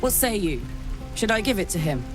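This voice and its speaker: A woman's voice: mid-range voice, big pitch swings, fairly quick, over music, lightly acted. An actress voicing a hopeful, tender young adventurer woman in a fantasy video game cutscene. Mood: anxious, hopeful.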